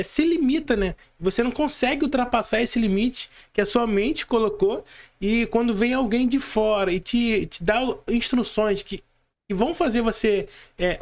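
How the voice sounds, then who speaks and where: A Brazilian man chatting casually as a podcast co-host, repeating words for emphasis. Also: high voice. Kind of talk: casual chat.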